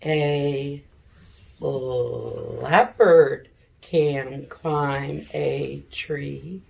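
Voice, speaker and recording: Middle aged lady teacher doing a reading lesson for little kids, super slow n careful, creaky voice. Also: low voice, fairly even intonation, darkish tone, a little hiss or hum, poor audio. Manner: slow, deliberate, sounding out words and asking questions. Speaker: elementary school teacher. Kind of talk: read-aloud reading lesson for young children. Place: living room at home, seated in an armchair.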